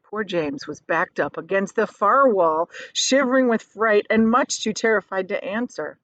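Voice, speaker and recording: A woman teacher reading a children's storybook aloud expressively, pausing to explain things in simple, casual words. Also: medium pitch, a bit bright, no room sound, rough recording.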